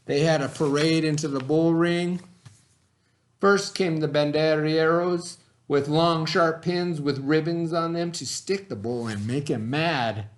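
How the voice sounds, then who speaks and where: A man with a slightly high-pitched voice speaks as a teacher to young children. He reads and talks in an expressive way. His phrasing is simple and addressed straight to his young listeners. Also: a little slow. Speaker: teacher reading aloud. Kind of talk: children's story read-aloud, then informal talk to children.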